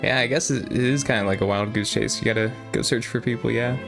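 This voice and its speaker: A man giving casual game stream commentary in a medium-pitched voice. He talks hesitantly and rambles, with game music behind him. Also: steady tone, quick pace, vocal fry.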